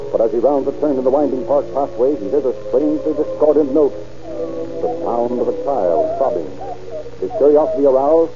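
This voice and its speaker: A man narrating a 1940s radio drama in a measured, dramatic storytelling manner. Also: medium pitch. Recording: dull old radio recording with music.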